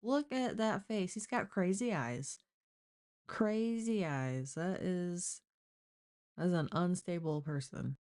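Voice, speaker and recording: A woman's voice: fairly low pitch, takes it slowly, a little mellow. A woman hosting a live stream, casually reacting to a crime show in a blunt, exclaiming way and thinking aloud.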